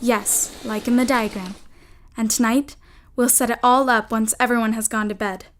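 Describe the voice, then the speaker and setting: A woman's voice: a little high, steady tone, normal speed, close to an everyday voice. A woman playing a commanding, coaxing older sister, a scheming girl from an old-fashioned household, in an audio drama. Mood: bossy, conspiratorial.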